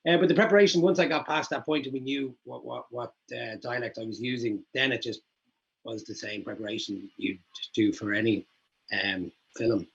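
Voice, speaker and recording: An actor dials into a festival Q&A over video call and answers in an animated, rambling way. His voice is husky at mid pitch. The line sounds dull and lo-fi. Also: moderate pace. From Ireland. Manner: animated, rambling, laughs easily.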